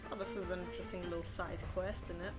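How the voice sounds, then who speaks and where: A woman's voice: fairly low pitch, level tone, fast talker, loud music behind the voice. A woman giving casual, musing gameplay commentary in an offhand way. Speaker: gaming streamer.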